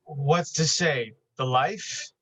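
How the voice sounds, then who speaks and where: A man's voice: fairly high pitch, slow pace, fairly bright tone, poor audio. A man acting a dutiful, cautious town constable and father in a Shakespearean staged reading, speaking formally. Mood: grave.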